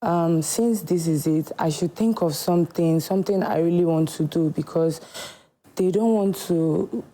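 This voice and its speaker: A woman from Nigeria, a shoemaker guest telling her story in a TV studio interview, earnest and unhurried. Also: lowish voice, mellow, poor audio.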